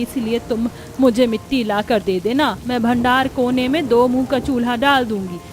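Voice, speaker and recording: A woman's voice: on the high side, noisy background, lightly acted. A woman voicing a firm, practical elderly village mother-in-law in a cartoon story, scolding and advising. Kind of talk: Hindi cartoon story acting.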